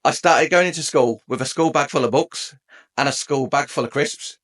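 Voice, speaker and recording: A man's voice: medium pitch, normal speed, a bit bright. A man from England in his forties, a podcast guest telling his own story in a chatty, jokey way. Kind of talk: conversational storytelling.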